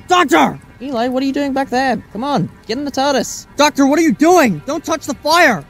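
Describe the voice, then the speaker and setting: A man's voice: very high voice, animated, brisk, fairly bright tone, exaggerated voice. A man performing earnest, alarmed adventurers in a fan-made audio drama, with dramatic, emotive delivery. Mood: panicked, shouting. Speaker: voice actor performing all parts.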